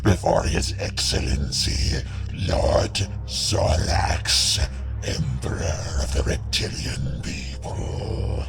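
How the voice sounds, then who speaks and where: A man playing a stern, contemptuous reptilian henchman in an audio drama, with a breathy, gravelly rasp. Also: extremely low pitch, takes it slowly, music in the background, full character voice. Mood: commanding. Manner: barking, contemptuous.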